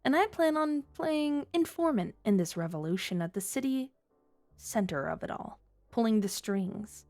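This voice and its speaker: A woman's voice: on the high side, lively intonation, normal speed, a little mellow. Woman acting a flirty, teasing underworld schemer over music. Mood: bargaining, commanding. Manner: teasing, coy, mock-sweet purring. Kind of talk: flirty ASMR roleplay audio drama.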